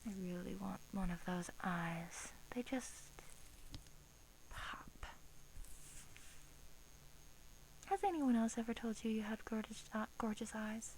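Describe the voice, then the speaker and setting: A woman acts out an ancient female vampire in an intimate ASMR roleplay. She speaks slowly and softly, drifting into whispers as she muses. She is smug and predatory, yet doting. Faint noise sits behind the voice. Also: mid-range voice, gravelly, rough recording. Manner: slow, hushed, slipping into whispers, musing. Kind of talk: soft-spoken ASMR roleplay.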